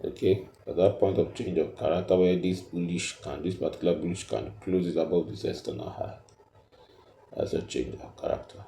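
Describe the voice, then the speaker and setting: A man giving a step-by-step tutorial, explaining haltingly and repeating himself as he points things out. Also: low-pitched, mellow, reverberant, a little hiss or hum. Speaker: trading tutor.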